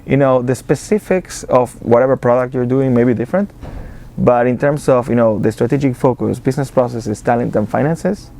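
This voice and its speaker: A man's voice: medium pitch, a little fast, gravelly, warm tone. A man from Argentina with an Argentine accent, a guest in an interview who talks casually with many fillers and restarts. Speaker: interview guest, business accelerator director.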